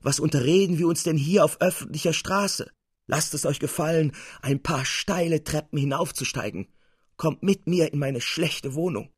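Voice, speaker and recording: A man voicing a vain, irascible old Italian composer in a story reading, fussy and self-important with a gravelly voice. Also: a little high, normal speed, dry recording, clean studio sound, voice pushed up. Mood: flattered, inviting.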